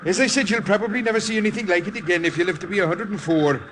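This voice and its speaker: High-voiced, teasing Irish priest. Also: male voice, racing, fairly bright tone. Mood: playful, rambling. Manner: rambling, playful blarney. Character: genial, teasing Irish army priest with a brogue. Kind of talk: old-time radio drama acting. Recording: noisy vintage radio recording.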